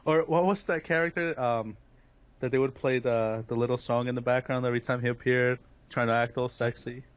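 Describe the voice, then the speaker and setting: A man's voice: medium pitch, fairly even intonation, fairly quick, no echo. A man co-hosting a casual fan podcast, talking in an enthusiastic, emphatic way.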